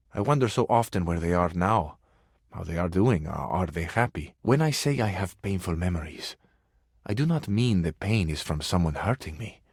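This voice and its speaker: A man's voice: deep voice, darkish tone, slightly rough, no room sound, clean studio sound. A man voicing a passionate, suave Italian cabinet for a video game, with florid, theatrical delivery and an Italian accent. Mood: wistful, melancholy. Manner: florid, dramatic, lingering on words. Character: passionate, melodramatic, romantic Italian cabinet, male, suave dreamer.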